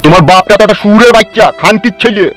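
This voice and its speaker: A man's voice: high-pitched, fairly even intonation, rapid-fire, fairly bright tone, soft background music. A man voicing a furious, foul-mouthed middle-aged village father in a comic cartoon, ranting. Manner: hectoring, abusive rant. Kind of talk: comic Bengali cartoon dubbing.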